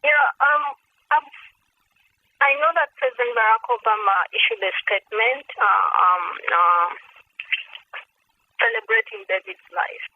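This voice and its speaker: A woman's voice: fairly high pitch, expressive, a little slow, long pauses. A woman from Uganda with a Ugandan accent, speaking earnestly as an activist guest and repeating phrases for emphasis, over a telephone conference line. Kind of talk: earnest advocacy talk on a conference call. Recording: tinny, noisy phone conference line.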